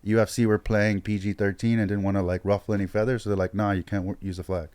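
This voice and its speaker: Podcast guest: low-pitched man from Hong Kong, casual and stammering, dry close recording. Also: level tone, fast talker, a little mellow, no room sound. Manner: laughing often, stammering restarts. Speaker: podcast guest, MMA fighter. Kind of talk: casual chat.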